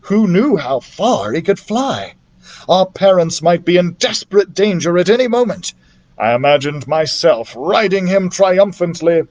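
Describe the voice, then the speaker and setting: A man's voice: sits high, darkish tone, muffled recording. A man reading a children's story aloud expressively as a library storyteller.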